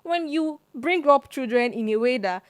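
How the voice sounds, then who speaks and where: Earnest young Nigerian woman, higher voice. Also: animated, moderate pace, no echo. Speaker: podcast co-host. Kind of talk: casual chat.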